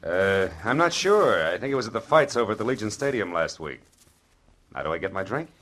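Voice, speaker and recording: A man's voice: on the low side, very animated, a little fast, a bit bright. A man playing a sardonic, slangy private detective in a 1940s-style radio drama. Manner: sardonic, slangy, clipped wisecracking. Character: hard-boiled, wisecracking male private detective. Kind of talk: 1940s radio drama acting and first-person narration. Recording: old radio broadcast with music underneath.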